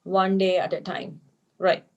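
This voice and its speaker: A woman's voice: fairly low pitch, level tone, unhurried, darkish tone, muffled recording. A woman playing a wry, guarded fiancée in a scripted table read, with a dry, skeptical delivery. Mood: wary. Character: wry, guarded young woman engaged to a spy.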